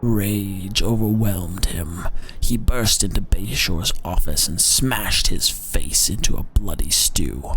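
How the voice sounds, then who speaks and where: A man narrates dark lore in a theatrical, deliberate style. His gravelly voice swings widely in pitch as he stretches words for emphasis. The delivery is unhurried and dramatic throughout. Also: lively intonation. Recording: music behind the voice. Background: background music.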